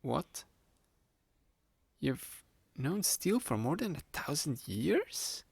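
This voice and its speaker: Man playing a gravelly, sly young hypnotist. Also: fairly high pitch, very animated, extremely slow, no room sound, full character voice. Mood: curious, amazed. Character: sly young male kitsune student, playful manipulative hypnotist roommate. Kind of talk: ASMR roleplay, addressed to the listener. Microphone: close studio-style microphone, dry.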